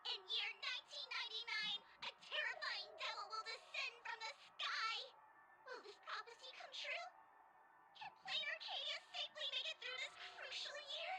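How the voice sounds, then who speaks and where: Super high cutesy tv news lady from a game, dramatic, loud music over it. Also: normal speed. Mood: ominous. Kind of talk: scripted news-show narration.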